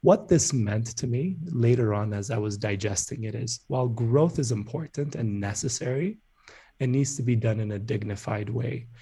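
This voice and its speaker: Mellow-voiced man from Ethiopia. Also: medium pitch, breathy edge. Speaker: startup founder, podcast guest. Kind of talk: conversational interview answers.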